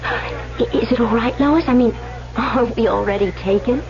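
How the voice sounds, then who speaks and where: A woman's voice: on the high side, moderate pace, natural voice. An actress playing a naive, easily rattled young woman in an old-time radio drama, girlish and hesitant. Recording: dull old radio recording.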